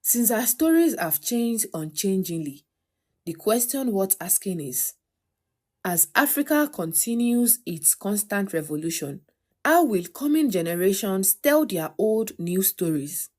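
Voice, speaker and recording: A woman narrating a scripted documentary in a measured, articulate way. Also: mid-range voice, big pitch swings, darkish tone.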